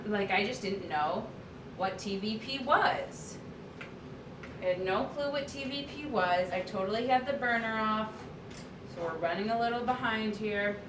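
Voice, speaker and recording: A woman hosting a casual live cooking stream from her home kitchen, chatty and upbeat, with a loud teacher voice, picked up by a camera across the room. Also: mid-range voice. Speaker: cooking livestream host and coach. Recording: echoey kitchen with faint noise.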